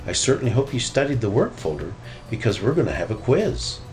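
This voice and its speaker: A man's voice: mid-range voice, sing-song, fairly quick, darkish tone, faint music behind, natural voice. A man voicing a stern, matter-of-fact bear schoolteacher in a children's storybook.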